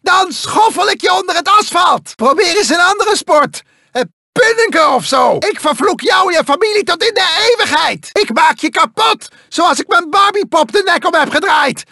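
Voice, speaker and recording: A manic cyborg mad scientist from a cartoon video game, whiny and vengeful. The voice is shrill and very high, swooping wildly from mutters to shrieks. It has a gravelly crackle and theatrical villainy. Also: male voice, expressive, normal speed, pushed and bright, no echo, clean studio sound, full character voice. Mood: menacing, gleeful.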